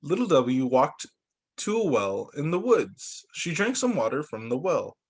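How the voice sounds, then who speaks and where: A man working as a teacher, reading children's storybooks aloud in an expressive way. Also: fairly high pitch, a little slow, slightly rough. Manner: expressive, acts out dialogue for young children.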